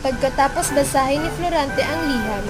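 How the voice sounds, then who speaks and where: Young woman narrator, Filipino accent, high voice, scripted radio drama, loud music bed, amateur recording. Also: normal speed.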